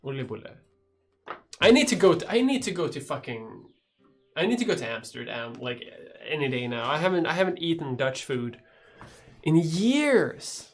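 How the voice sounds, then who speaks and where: A woman's voice: sits low, sing-song, normal speed, soft background music. A woman in her thirties with a Swedish accent, chatting casually on a livestream with lots of restarts and casual swearing. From Sweden. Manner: rambling, swears casually, frequent restarts and laughs. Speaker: YouTuber hosting a livestream. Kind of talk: casual livestream chat reading chat questions.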